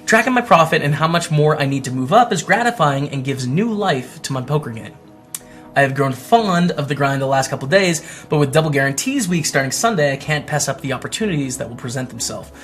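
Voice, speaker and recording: A man's voice: on the high side, expressive, fairly quick, a bit bright, soft background music. A man hosting a casual vlog, reading written posts aloud and adding wry, chatty asides as he goes. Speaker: host, poker streamer. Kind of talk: casual commentary while reading old blog posts aloud.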